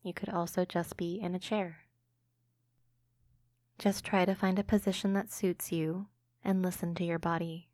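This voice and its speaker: A woman hosting a guided imagery meditation podcast, speaking slowly and gently into a close mic. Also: lowish voice, little pitch movement, dry recording. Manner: slow, soothing, gentle delivery.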